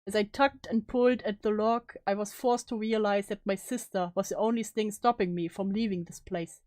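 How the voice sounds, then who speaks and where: A woman's voice: mid-range voice, fairly even intonation, normal speed, a little mellow, dry recording, close to an everyday voice. A woman playing a guilt-ridden, despairing young student in a solemn, melodramatic story reading. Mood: desperate, anguished. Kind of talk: dramatic reading of story narration.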